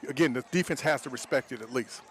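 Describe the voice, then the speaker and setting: A man's voice: medium pitch, quick pace, a bit bright. A man giving conversational colour commentary as a football coach and analyst, explaining tactics in colloquial terms.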